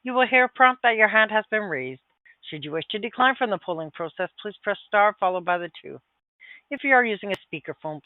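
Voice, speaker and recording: Male call operator, high voice, formal scripted announcements, dry narrow telephone sound. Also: dry recording. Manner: formal, polite. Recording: dry narrow-band phone line.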